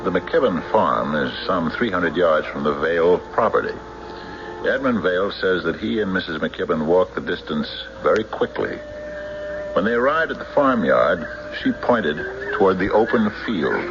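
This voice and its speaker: Muffled old radio drama with clear noise. a skeptical small-town sheriff, very low in voice, dry and deliberate as he probes and reports. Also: animated. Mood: matter-of-fact. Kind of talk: old-time radio drama acting and narration.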